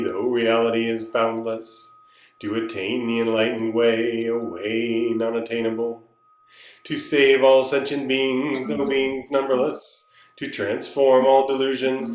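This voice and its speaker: A man leading a slow, measured recitation of Buddhist vows as chant leader. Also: medium pitch. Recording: echoey room with clear hum.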